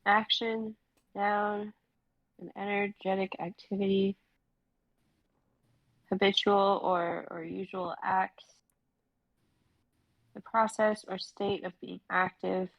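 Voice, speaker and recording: A woman's voice: mid-range voice, flat delivery, slow, creaky, long pauses, no echo, lo-fi sound. A woman reading the part of an anxious, searching young woman traveler in a stage play, hesitant and earnest. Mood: lonely, tentative. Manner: hesitant, earnest, self-dismissing.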